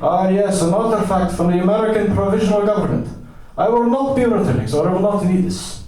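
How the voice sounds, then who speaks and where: A man's voice: high-pitched, fairly quick, warm tone, voice pushed up, lightly acted. A man voicing a deranged, grandiose and pleading American drifter in a dramatic story reading. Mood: manic, pompous. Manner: pompous declarations, desperate insistence. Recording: echoey room, distant from mic.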